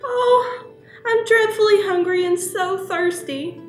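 A woman's voice: extremely high pitch, slow, roomy sound, soft background music. A woman voicing a hungry, complaining female pig who moans in a singsong way. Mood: whiny. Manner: moaning, singsong.

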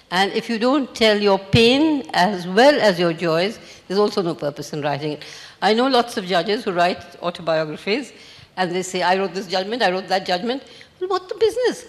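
An elderly woman from India speaks at a measured, thoughtful pace into a handheld microphone on a large festival stage. Her words carry a long hall reverb behind them. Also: mid-range voice. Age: 80s. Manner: measured, reflective storytelling. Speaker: panelist, memoir author. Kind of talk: panel discussion. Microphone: handheld mic.

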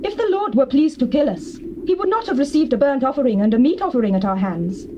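A woman playing a devout, earnest wife in a dramatized scripture recording, with measured, theatrical, formal speech. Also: sits high, lively intonation. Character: devout, earnest wife, awed yet sensible. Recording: dull, slightly hissy old cassette tape.

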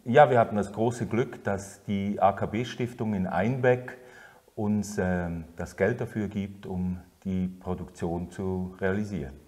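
A middle-aged man talks through a radio drama production in a relaxed way. His voice is very low and steady. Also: takes it slowly. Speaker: theatre producer. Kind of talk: making-of interview. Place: studio with concrete walls.

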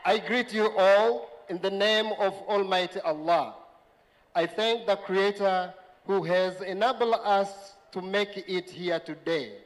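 A man's voice: high-pitched, takes it slowly. A young man from Zanzibar with a Tanzanian accent, reading a formal prepared speech as representative of a graduating class. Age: young adult. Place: large event tent. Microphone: handheld microphone. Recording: reverberant PA sound in large tent.

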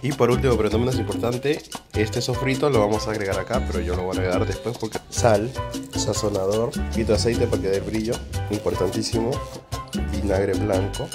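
Casual Peruvian man vlogging over music. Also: fairly low pitch. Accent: Peruvian Spanish. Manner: playful, colloquial, talks directly to viewers. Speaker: food vlogger and host. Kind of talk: casual cooking vlog chat.

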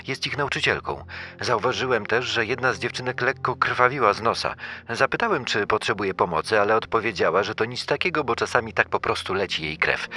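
A man acting as an eager young caretaker giving testimony in a true-crime audio drama. His voice is bright and forward at a middle pitch, with music underneath. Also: natural voice.

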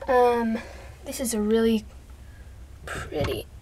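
A young woman, congested from allergies, chatting casually and softly as she shows off her collection, sometimes dropping to a whisper. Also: on the high side, animated, slow pace, a little hiss or hum. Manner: chatty, rambling, soft, drops into whispers. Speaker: vlogger. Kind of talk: casual show-and-tell vlog. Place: bedroom. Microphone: handheld camera.

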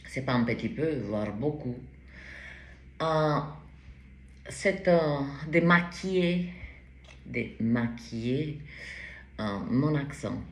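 A woman in her forties with a foreign accent, reading tarot cards to the camera in a halting, casual way with many restarts. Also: a bass voice, expressive. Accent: foreign accent in French. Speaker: tarot card reader. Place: bright indoor room at a table. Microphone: camera in front at table height. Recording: roomy echo, faint background hiss.

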